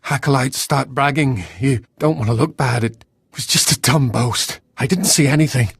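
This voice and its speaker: A husky, terrified young acolyte prisoner. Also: male voice, medium pitch, moderate pace, no echo, clean studio sound. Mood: pleading, frightened. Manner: nervous, stammering, pleading. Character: terrified, cowering male Sith acolyte prisoner. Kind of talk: video game voice acting.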